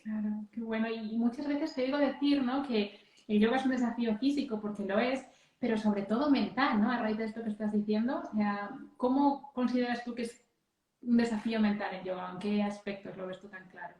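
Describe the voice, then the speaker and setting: A woman's voice: on the high side, steady tone, fairly quick, darkish tone. A woman hosting a live interview, asking friendly questions and often checking in with "right?". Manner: affirming, tags questions with "¿no?". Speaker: interviewer and live-stream host. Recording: echoey room.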